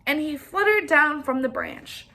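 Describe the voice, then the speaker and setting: Bright, high-voiced woman reading to children. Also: measured pace, poor audio. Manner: expressive, asks listeners questions. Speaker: read-aloud storyteller. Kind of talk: interactive children's story read-aloud.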